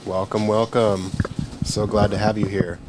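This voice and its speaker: A man's voice: fairly low pitch, little pitch movement, a little slow, darkish tone, a little hiss or hum. A young man commentating on a pool tournament from the booth, easygoing and asking questions with frequent "uh" fillers. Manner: easygoing, asks questions and fills with "uh". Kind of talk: live sports commentary.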